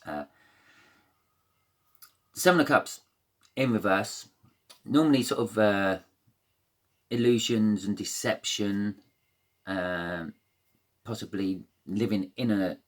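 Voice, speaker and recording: A man with a croaky voice giving a casual, rambling tarot reading, full of fillers and restarts. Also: on the low side, takes it slowly, pauses often. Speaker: tarot reader.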